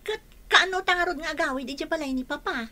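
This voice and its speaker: A woman's voice: high-pitched, fast talker, pushed and bright. A woman playing a spoiled, bratty young woman in an Ilocano radio drama, talking in an imperious, whiny way. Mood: whiny curious. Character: bratty, spoiled young woman ordering her cousin around.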